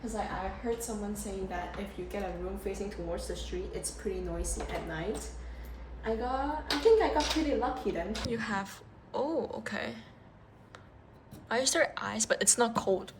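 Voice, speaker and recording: A young woman vlogging casually as she tours a budget hotel, chatty and excitable, full of "like"s and giggles, filmed on a handheld phone. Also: mid-range voice, normal speed. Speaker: travel vlogger. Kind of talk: casual travel vlog. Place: budget hotel room and entrance. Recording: echoey room with loud background noise.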